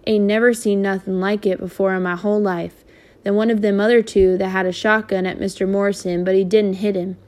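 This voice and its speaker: A woman's voice: medium pitch, level tone, fairly quick, darkish tone, natural voice. A woman voicing a shaken teenage boy who tells of an attack in rural Southern dialect speech.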